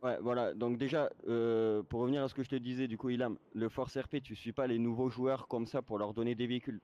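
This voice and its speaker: Male game moderator, quick and insistent explainer, casual, over lo-fi voice chat. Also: mid-range voice, steady tone, mellow. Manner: insistent, repeats words. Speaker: game server staff moderator. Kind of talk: casual explaining and arbitrating in online roleplay game. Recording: dull lo-fi voice chat.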